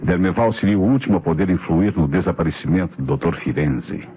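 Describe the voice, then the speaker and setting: A Brazilian man playing a methodical, authoritative police inspector in an old radio mystery, explaining in a deliberate, declamatory way. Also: sits low, animated. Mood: assured, expository. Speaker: radio drama actor. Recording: dull, noisy vintage radio recording.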